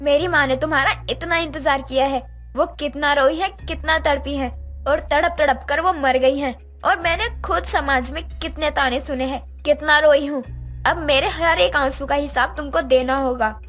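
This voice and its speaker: A bitter young stepdaughter, acted by a woman, scheming for revenge in a moral story. The voice is high and girlish, with music behind it. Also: steady tone, normal speed, fairly bright tone. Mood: bitter, vengeful.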